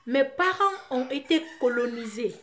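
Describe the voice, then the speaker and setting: A woman from Guinea with a Guinean French accent, Susu her first language, talking to her fans in an impassioned vlog, her voice often rising into loud, forceful outbursts. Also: sits high, animated, unhurried, faint music behind. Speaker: vlogger addressing her fans. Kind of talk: impassioned monologue to camera.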